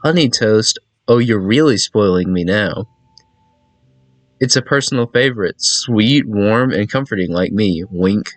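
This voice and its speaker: A man's voice: on the low side, unhurried, no echo. A man voicing a flirty, ominous female entity from a visual novel game, coy and teasing. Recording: music behind a dry voice.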